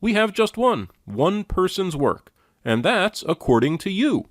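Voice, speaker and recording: A man's voice: high-pitched, very animated, unhurried, no room sound, studio quality. A man giving critical commentary in a skeptical, sardonic and challenging manner. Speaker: commentator reviewing and rebutting a lecture.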